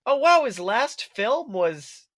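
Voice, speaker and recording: A man talking casually as a podcast co-host, excitable and exclamatory, swearing freely as he goes. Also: very high voice, takes it slowly, no echo, studio quality. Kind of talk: casual chat about an animated film.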